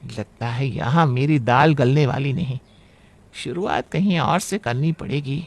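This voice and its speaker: A man voicing a scheming, sharp-tongued middle-aged aunt in a cartoon family story, with theatrical, drawn-out, needling delivery. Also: mid-range voice, slight background noise, lightly acted.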